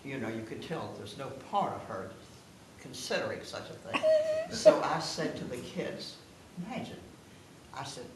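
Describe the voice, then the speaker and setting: A woman's voice: rumbling low, very animated, moderate pace. A 98-year-old woman telling stories casually among family in a giggly, playful manner. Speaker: guest of honor reminiscing. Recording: noisy, echoey room, distant mic.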